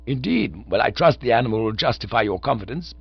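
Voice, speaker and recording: A man's voice: mid-range voice, animated, quick pace, vocal fry, husky, no room sound. A man voicing a pompous, portly elderly lord who speaks in stately, formal, wordy phrases. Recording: dull lo-fi dry recording.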